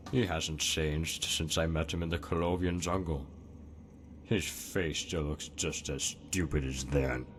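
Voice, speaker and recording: Scornful, abyssal-deep demon lord. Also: male voice, fairly even intonation, a little slow, fairly bright tone. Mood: mocking, reminiscing. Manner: sneering, commanding, ominous. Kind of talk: dramatic video game acting. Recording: music behind the voice.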